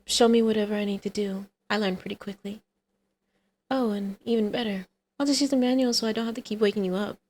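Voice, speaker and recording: A woman playing a teasing, flirtatious villainess in an intimate ASMR roleplay, with a creaky voice that often drops to a breathy whisper. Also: medium pitch, fairly even intonation, fast talker, darkish tone, natural voice. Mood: playful, mischievous.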